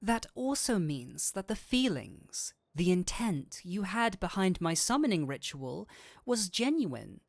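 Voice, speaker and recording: A man playing a flirtatious incubus demon in a roleplay audio drama, with a teasing, theatrical, drawn-out delivery. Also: high-pitched, very animated, vocal fry, no room sound, studio quality, heavily acted. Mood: seductive, reassuring. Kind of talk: scripted roleplay audio drama, addressing the listener.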